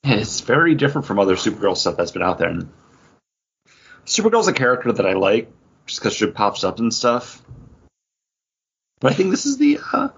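A man's voice: medium pitch, wildly swooping pitch, fairly quick, pauses often, husky. A man co-hosting a podcast, giving his take on comic books in casual chat. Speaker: podcast co-host. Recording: dull lo-fi podcast audio.

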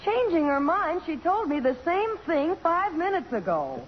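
A flustered, wisecracking secretary, high-voiced with very animated intonation. It is comic 1950s radio theater, muffled and ringing with long reverb. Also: female voice, unhurried, exaggerated voice. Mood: exasperated. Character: flustered, wisecracking female secretary, loyal and exasperated. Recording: muffled, hissy, reverberant old radio broadcast.

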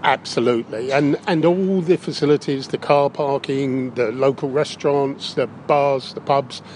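A man's voice: medium pitch, moderate pace, darkish tone. Matter-of-fact British man, slightly noisy recording. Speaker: council leader. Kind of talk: spoken interview answers. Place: inside a circus big top tent. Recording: noisy location recording inside big tent.

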